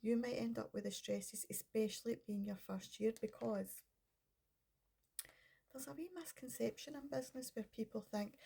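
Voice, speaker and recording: A woman's voice: medium pitch, vocal fry, slightly rough. A middle-aged woman with a cold, chatting casually to camera as a business coach, rambling and interrupting herself. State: congested with a cold. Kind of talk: casual vlog talk to camera.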